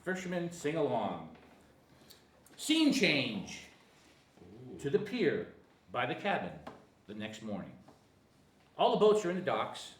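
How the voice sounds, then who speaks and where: A man's voice: medium pitch, wildly swooping pitch, a little slow, pauses often. A man narrating screenplay directions at a table read, sometimes stumbling over the text. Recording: echoey room, faint noise.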